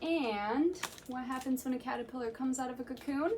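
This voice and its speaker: A young woman, a children's librarian, reading a picture book aloud to children in a gentle, slow, sing-song way and counting along. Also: high voice, a little hiss or hum. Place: library room.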